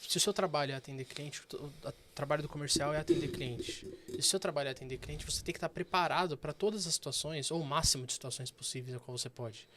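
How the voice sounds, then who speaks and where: A man, a guest banker sharing stories in a casual interview with animated delivery and frequent fillers. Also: a little high, fast, hardly any pauses, slight background noise.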